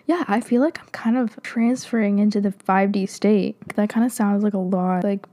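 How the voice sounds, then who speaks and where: Young woman podcaster, soft mellow voice, chatty and rambly, lots of "like". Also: medium pitch, moderate pace. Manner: chatty, lots of "like", reads passages aloud. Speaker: podcast host. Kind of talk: casual solo podcast talk.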